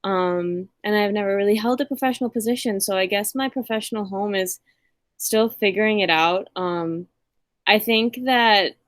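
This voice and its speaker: A woman's voice: mid-range voice, no echo. Casual young woman with a dry, close sound. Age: 20s. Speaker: recent graduate. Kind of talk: informal self-introduction.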